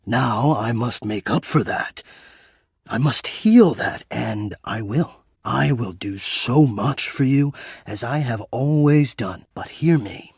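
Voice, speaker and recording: Breathy, lovestruck, courtly gentleman. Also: medium pitch, animated, no room sound, studio quality, full character voice. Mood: earnest, apologetic. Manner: formal, halting, earnest, romantically intense. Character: shy, lovestruck, courtly living ventilation system, adult male. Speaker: video game voice actor. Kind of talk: dating game character voice acting.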